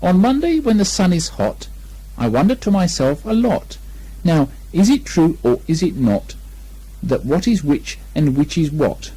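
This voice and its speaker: A good-natured bear of very little brain, voiced by a man reading a storybook. The voice is raised and mellow, with an earnest, hesitant, naive manner. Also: on the high side, very animated, a little slow, husky, pitched above the performer's own voice, full character voice, rough recording. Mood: earnest reciting. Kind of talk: children's storybook character reading.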